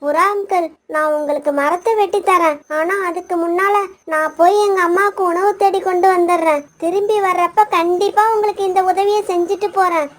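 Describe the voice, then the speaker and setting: A woman's voice: very high voice, steady tone, brisk. A woman voicing a kind, dutiful young male bird, polite and earnest, in a children's cartoon. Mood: hesitant, earnest. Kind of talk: acted children's moral story cartoon.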